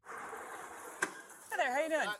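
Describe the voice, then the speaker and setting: Lady cop asking polite questions, pretty high voice. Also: animated, extremely slow, noisy background.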